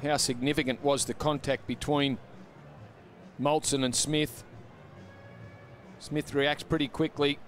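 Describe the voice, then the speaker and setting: A man giving calm, analytical expert commentary on a rugby league match from a stadium commentary box. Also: fairly high pitch, soft background music. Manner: measured, explains the play. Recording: broadcast with loud stadium noise.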